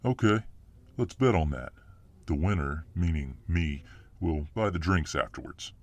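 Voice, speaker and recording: A man playing a gruff, protective, muscular fireman boyfriend full of tough-guy bluster in an ASMR roleplay. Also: extremely low pitch, lively intonation, measured pace, exaggerated voice. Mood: cocky, irritated.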